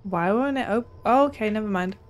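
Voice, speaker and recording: A woman's voice: medium pitch, a little fast, a little hiss or hum. Young woman streamer muttering through a game. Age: young adult. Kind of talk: casual gameplay commentary, reacting aloud. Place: small home room.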